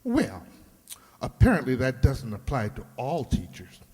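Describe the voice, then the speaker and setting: Gushing, swooping theatrical hairdresser. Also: male voice, medium pitch, wildly swooping pitch, measured pace, darkish tone, breathy edge, full character voice. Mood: confiding. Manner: effusive, flowery, affected. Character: gushing, theatrical male hairdresser, flattering and two-faced. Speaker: amateur radio-play actor. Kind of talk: comic old-time radio sitcom acting. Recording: echoey hall with long reverb.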